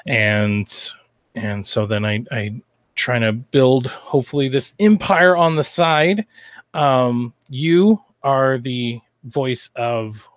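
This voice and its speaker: American male podcast host, medium pitch, informal rambling chat, dry sound. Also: takes it slowly, no echo. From Idaho, United States. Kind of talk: casual chat.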